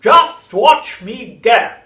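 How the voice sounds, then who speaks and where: A storyteller voicing a scrawny, ancient grandmother who yells in a high, screechy voice, drawing her words out slowly. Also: animated, exaggerated voice. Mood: gleeful yelling. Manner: loud, drawn-out yelling. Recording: roomy, from a distance.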